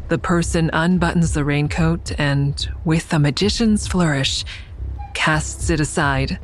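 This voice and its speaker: A woman playing a guarded, tense heroine in an audio drama, telling her story in a measured, deliberate, suspenseful way. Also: low voice, a little hiss or hum. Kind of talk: first-person audio drama narration and dialogue.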